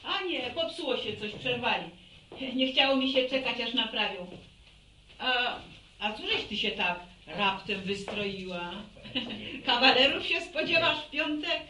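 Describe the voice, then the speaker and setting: A bossy middle-aged farm mother, high and bright in voice. She scolds, in a roomy radio drama recording. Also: lively intonation, measured pace. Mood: brisk, suspicious. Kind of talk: radio serial acting. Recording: roomy, distant, faintly noisy radio recording.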